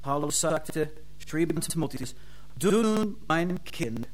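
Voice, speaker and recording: American man preaching in halting German. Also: a little high, expressive, a little hiss or hum, rough recording. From United States. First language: English. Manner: halting, searching for words, many fillers and restarts; fervent bursts of praise. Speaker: pastor and Bible school teacher. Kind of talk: sermon.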